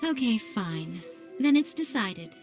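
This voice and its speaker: An actress playing a sly, aloof fox shrine priestess in an anime game, with a breezy, superior, teasing manner. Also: female voice, on the high side, sing-song, slow, a little mellow, heavily acted. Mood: brisk, breezy. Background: music.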